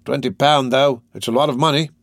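A man's voice: medium pitch, fairly even intonation, a little fast, no room sound, studio quality, voice pushed up, natural voice. A man voicing a greedy, cowardly young lout in a storybook reading, self-important and full of questions. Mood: cocky, grasping.

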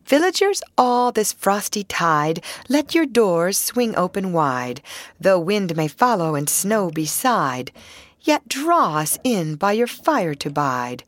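A woman's voice: mid-range voice, very animated, takes it slowly, no room sound, studio quality. Woman as earnest young field mice reciting a carol. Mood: joyful, lilting. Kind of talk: lilting verse recitation of a carol.

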